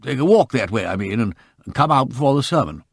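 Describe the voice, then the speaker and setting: A man voicing a hearty, bluff old colonel who booms and gets flustered. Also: lively intonation, fast talker, vocal fry, slightly rough, no room sound, clean studio sound.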